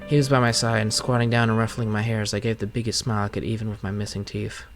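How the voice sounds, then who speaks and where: A man's voice: fairly low pitch, flat delivery, quick pace, soft background music. A man streaming a game, reading story narration aloud and giving casual commentary as host. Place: game playthrough recording with music behind.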